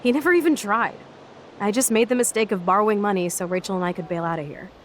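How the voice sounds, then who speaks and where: A woman's voice: mid-range voice, fast talker, natural voice. Brash young punk woman played by an actress, noisy backdrop. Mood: candid, wry. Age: young adult. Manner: slangy, blunt, sardonic. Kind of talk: naturalistic video game acting.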